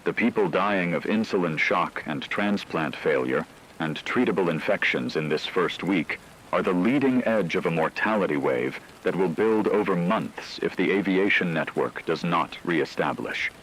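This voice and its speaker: A man playing a grave radio news anchor, reading a scripted emergency bulletin in a formal, measured, solemn way. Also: low-pitched, animated, fairly bright tone, slight background noise, low-quality recording. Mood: somber. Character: grave male shortwave radio newscaster reporting global catastrophe.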